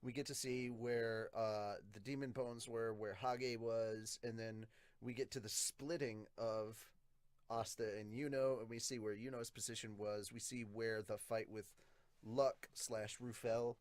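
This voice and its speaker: A man's voice: medium pitch, steady tone, a little slow, no echo. Casual male podcast host, dry close recording. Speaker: host of a manga review podcast. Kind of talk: casual review commentary.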